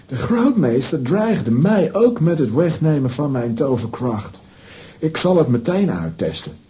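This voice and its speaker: An actor playing a fretful, lovestruck older wizard, theatrical and easily flustered, in a children's audio drama. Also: male voice, fairly high pitch, warm tone.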